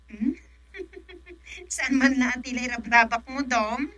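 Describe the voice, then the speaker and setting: An actress playing a skeptical, teasing village woman with an Ilocano accent and drawn-out delivery in a radio comedy. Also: high-pitched, a bit bright, no echo, heavily acted. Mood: skeptical, surprised. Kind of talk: comedic radio drama acting.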